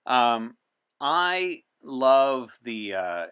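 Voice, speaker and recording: A man's voice: medium pitch, big pitch swings, drawn-out words, a bit bright, clear, resonant tone. A man speaking as an interview guest in an articulate, explanatory and wry way. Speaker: podcast guest. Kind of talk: conversational interview talk in character as a visitor from 1964.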